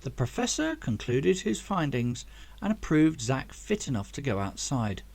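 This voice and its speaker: A man's voice: medium pitch, sing-song, measured pace, vocal fry, a little mellow, dry recording. A man reading a story aloud as scripted audiobook narration, as the author of the book.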